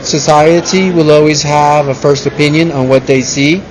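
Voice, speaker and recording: Man, early forties, raised in the United States, lightly high pitch, interviewee, busy crowd. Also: steady tone, normal speed, rough recording. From born in Colombia, raised in the United States. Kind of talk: interview answers. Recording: busy public place, crowd noise. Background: crowd noise.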